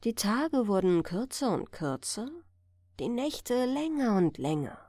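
A woman reading a fairy tale aloud as an audiobook narrator, in an expressive storytelling style. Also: mid-range voice, measured pace, no room sound, studio quality. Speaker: audiobook reader.

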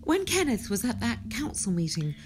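Casting: actress as lady of the house, fairly high voice, expressive swings, polite, guarded. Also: moderate pace, music in the background. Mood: defensive. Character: well-to-do wife, composed hostess, defensive. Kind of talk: audio drama acting.

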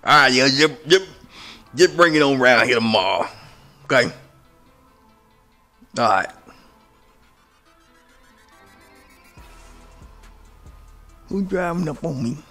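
A man's voice: medium pitch, animated, moderate pace, pauses often, bright, forceful tone, soft background music. A man with a Memphis Southern accent playing a sleazy, flirtatious backyard mechanic in an improvised outdoor comedy skit, talking in a slick, rambling drawl. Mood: smooth-talking. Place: outdoors in a suburban driveway.

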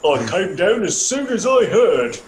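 A man playing a brisk, helpful policeman in a children's puppet show, speaking in short, matter-of-fact phrases. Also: sits high, big pitch swings. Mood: businesslike. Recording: echoey, noisy homemade recording.

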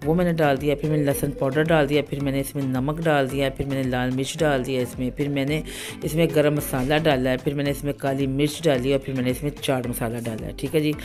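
A woman's voice: extremely low pitch, fairly even intonation, fast talker, over music. A woman, hosting a home cooking channel, talking through a recipe in a chatty voice-over full of fillers. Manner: chatty, repeats "ठीक है जी", many fillers.

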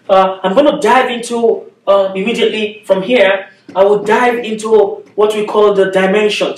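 A man in his thirties with a Nigerian accent, giving a physics lecture at a whiteboard and explaining step by step, often checking in with "okay?". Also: high voice. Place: classroom with whiteboard, indoors. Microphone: far camera. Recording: echoey room, voice from a distance.

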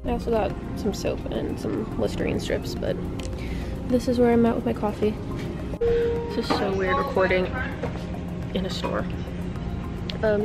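A young woman in a casual vlog, talking to a handheld camera at arm's length, chatty and rambling with lots of fillers. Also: medium pitch. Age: young adult. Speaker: vlogger. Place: grocery store, car and bedroom.

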